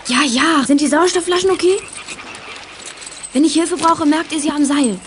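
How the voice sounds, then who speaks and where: A woman's voice: sits high, moderate pace, background noise, lightly acted. A woman playing a brave, assertive young girl detective in a children's radio play. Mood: brisk, confident. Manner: assertive, urgent.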